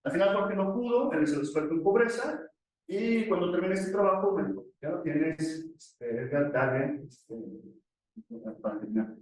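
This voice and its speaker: High-voiced man presenting in an echoey room. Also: lively intonation, normal speed. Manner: explanatory, asks rhetorical questions and answers them. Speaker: academic economist presenting a paper. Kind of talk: conference presentation. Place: echoey conference session room. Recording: very echoey room, distant microphone.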